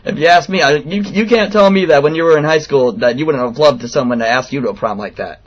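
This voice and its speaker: A man in his late twenties making an earnest, persistent complaint over the phone in a halting, informal way on a lo-fi recording. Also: on the high side. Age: late 20s. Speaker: radio show host calling in a complaint. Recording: dull, lo-fi phone call recording.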